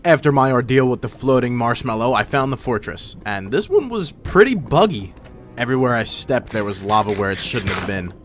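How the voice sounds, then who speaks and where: A man's voice: medium pitch, very animated, a little fast. A man narrating gameplay in a casual, informal way with wry asides, his voice run through a voice filter. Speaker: gaming video host. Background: background music.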